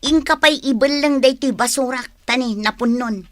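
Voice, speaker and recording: An actress playing a bossy, spiteful office bully in an Ilocano radio drama, sharp and demanding. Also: female voice, high voice, normal speed, close to an everyday voice. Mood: scornful, demanding.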